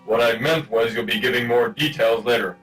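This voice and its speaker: An actor playing a stern, self-important secret service chief in a comic spy radio play. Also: male voice, mid-range voice, a little fast, fairly bright tone, recorded from a distance, soft background music, lightly acted, low-quality recording. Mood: official, exasperated.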